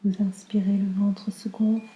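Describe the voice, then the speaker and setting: A woman guiding a slow relaxation meditation in a calm, soothing, soft and breathy voice that often nears a whisper. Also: medium pitch, reverberant. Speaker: yoga nidra guide.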